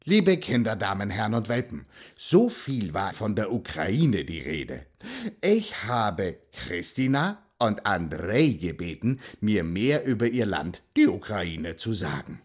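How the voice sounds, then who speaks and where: A man's voice: on the low side, big pitch swings, takes it slowly, husky, full character voice. A man voicing a friendly, curious talking dog who hosts a children's radio show and asks kindly, simple questions.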